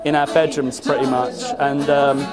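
A man's voice: mid-range voice, flat delivery, moderate pace. A man, a band musician, giving casual interview answers in an informal, rambling way. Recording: clear music playing behind. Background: music at a live event.

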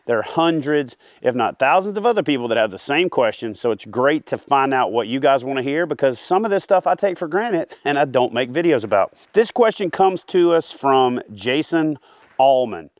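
A man's voice: medium pitch, normal speed, no echo. Guy in his 40s, fishing vlogger, talks w his hands, lots of uhs, dry close sound. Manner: animated, talks with his hands, many "uh" fillers. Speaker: fishing channel host. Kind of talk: casual direct-to-camera advice. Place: outdoors by a lake among trees.

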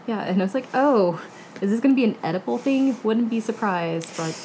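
A woman's voice: medium pitch, animated, fairly quick, warm tone, slight background noise, poor audio. A woman in her thirties chatting casually on a book panel, slangy and acting out quotes as she retells. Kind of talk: casual chat. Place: living room, indoors.

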